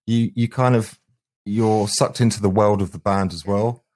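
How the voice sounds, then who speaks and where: Male musician, low voice, talking through his band's work in a conversational interview. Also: fairly even intonation, moderate pace, darkish tone. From England. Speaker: band frontman, singer and guitarist.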